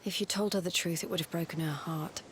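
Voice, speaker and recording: A woman voicing a composed, caring adventurer in a video game, gently reassuring. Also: lowish voice, steady tone, fast, slight background noise, natural voice. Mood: gentle, somber.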